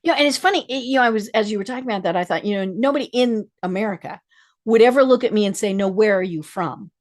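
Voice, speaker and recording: A woman's voice: mid-range voice, fast. A woman hosting an interview, chatty and affirming, who grew up in Colorado. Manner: frequent affirming backchannels, chatty. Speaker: podcast host. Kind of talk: conversational interview.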